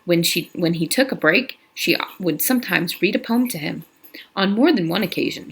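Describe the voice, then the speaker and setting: Woman reading a novel out loud, husky, easygoing, some restarts. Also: on the low side, rough recording. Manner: casual, with slips and restarts. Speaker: reader.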